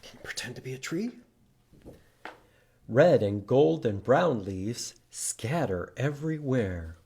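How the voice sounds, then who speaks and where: Male storytime reader for young children: lively pitch swings, unhurried delivery, participatory and expressive. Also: husky. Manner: expressive, animated, asks listeners to join in. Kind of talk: read-aloud storytime with singing and prompts to children.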